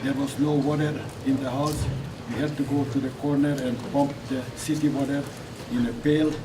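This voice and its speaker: A man in his eighties from Hungary, giving slow, halting personal testimony as a guest speaker. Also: mid-range voice, flat delivery. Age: 80s. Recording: echoey hall, mic at a distance, noisy.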